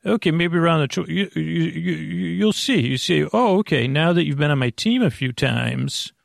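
A middle-aged man with a creaky voice hosting a bedtime podcast, meandering and halting through a self-deprecating, off-topic monologue. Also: on the high side, no echo, studio quality. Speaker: host of a bedtime sleep podcast.

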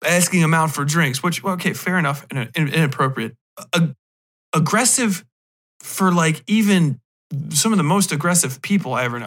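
A man's voice: fairly high pitch, a bit bright. A man hosting a podcast, casually ranting about a film in a blunt, mocking, profane way and acting out bits of dialogue. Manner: acts out imagined dialogue while retelling scenes. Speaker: podcast host. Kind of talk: casual, rambling comedic film rant.

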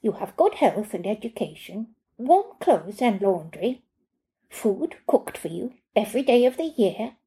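A woman's voice: mid-range voice, big pitch swings, a little slow, mellow. A woman voicing a stiff, prim housekeeper who speaks formal, slightly stilted English. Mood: lecturing.

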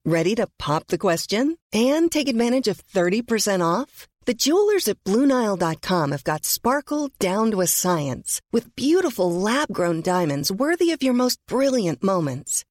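A woman reading a polished advertisement in a smooth, persuasive way. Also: medium pitch, a little mellow, no room sound, studio quality. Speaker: ad announcer. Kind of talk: polished ad read.

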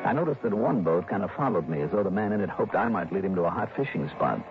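An American man playing a sharp, self-assured investigator in a 1960s radio drama, clipped and businesslike. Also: sits low, expressive, fast talker. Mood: brisk, wry. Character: sharp, self-assured male insurance investigator narrating his case. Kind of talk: 1960s radio drama acting, first-person narration. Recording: dull vintage radio recording with music.

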